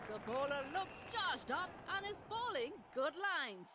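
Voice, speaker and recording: The keen commentator of a cricket video game, high-pitched over clear stadium noise. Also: female voice, big pitch swings, measured pace. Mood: measured, analytical. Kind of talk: scripted sports game commentary. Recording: game stadium ambience behind. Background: game ambience.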